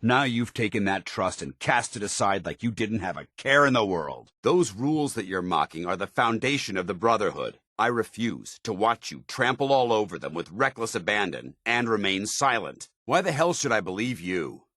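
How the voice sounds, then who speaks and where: An actor playing a stern, honorable military paladin in a video game, speaking with formal, clipped authority. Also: male voice, on the low side, sing-song, moderate pace, hardly any pauses, fairly bright tone, no room sound, studio quality. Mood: angry, betrayed. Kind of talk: video game companion dialogue.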